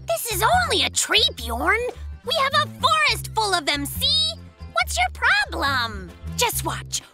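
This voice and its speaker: A cocky, excitable little polar bear from a kids' cartoon, with an extremely high voice that swings up and down. The delivery is boastful and slangy, with faint music behind it. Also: unhurried, heavily acted. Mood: brash, argumentative. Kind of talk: children's cartoon dub acting.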